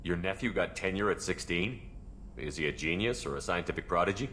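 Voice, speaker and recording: Deep-voiced, steady game hero. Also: male voice, slight background noise. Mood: curious. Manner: direct, measured questioning. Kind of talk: video game dialogue acting.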